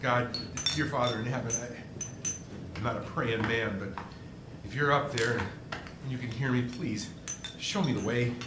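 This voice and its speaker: A man's voice: medium pitch, measured pace, long pauses, noisy background, close to an everyday voice. A man playing a desperate, hot-tempered family man who stammers with emotion in a live radio play. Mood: despairing. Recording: roomy live stage, music behind.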